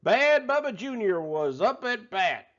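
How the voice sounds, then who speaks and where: A man voicing a folksy, excitable restaurant owner telling a tall tale in a theatrical drawl for a children's storybook. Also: sits high, measured pace, dull audio, heavily acted. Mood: folksy, excited. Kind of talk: animated children's storybook read-aloud.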